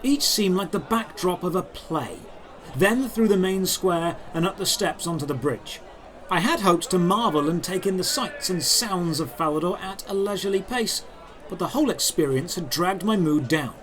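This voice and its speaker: A man's voice: high-pitched, lively intonation, moderate pace, a bit bright, some chatter behind, slight background noise. A man giving dramatic, scripted first-person narration for a fantasy audio drama.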